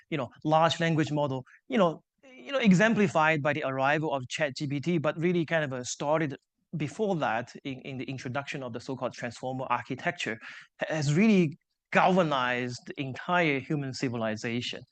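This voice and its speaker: Chinese-native man giving a lively seminar lecture. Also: fairly high pitch. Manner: explanatory, self-deprecating humor, frequent 'so-called' and 'right?'. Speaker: invited seminar speaker, engineering professor. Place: lecture room with live audience.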